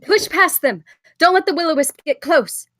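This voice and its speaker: A woman playing a brisk, wry female adventurer for hire in a fantasy script read. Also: high-pitched, lively intonation, fairly bright tone, no room sound, clean studio sound. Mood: urgent.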